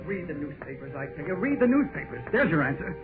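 Fast, bitter hectoring man, old radio. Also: on the high side, big pitch swings. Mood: bitter, insistent. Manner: urgent, insistent, repetitive. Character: bitter, hectoring man railing against war. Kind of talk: 1930s radio drama acting. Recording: tinny 1930s radio broadcast with music.

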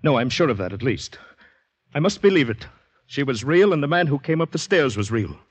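An actor playing a distraught, haunted man in a 1940s radio drama, telling his story in breathless, halting dramatic phrases. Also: mid-range voice, very animated, breathy edge. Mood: desperate. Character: distraught haunted man recounting finding a murdered woman. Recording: muffled old radio broadcast recording.

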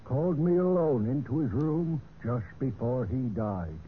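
A man's voice: medium pitch, sing-song, a little slow, phone-quality sound. An actor playing a defensive, plain-spoken male servant under suspicion in a vintage radio mystery. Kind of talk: 1940s-50s radio mystery acting. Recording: noisy band-limited old radio recording.

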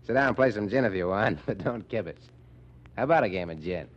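An actor playing a smirking, surly American mobster in 1940s radio style, slangy and sneering. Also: male voice, lowish voice, lively intonation, fast talker. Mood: mocking, amused. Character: smirking, surly male mobster, needling and unwelcoming. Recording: muffled, hissy 1940s radio recording.

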